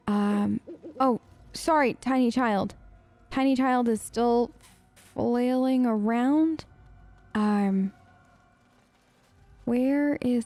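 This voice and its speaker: A woman hosts a game playthrough in a fairly high voice, recorded dry and close. She chats casually in role, playful and often hesitant as she reacts. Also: a little mellow, dry recording. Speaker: gamer, let's play host. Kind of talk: role-play gameplay commentary, casual chat.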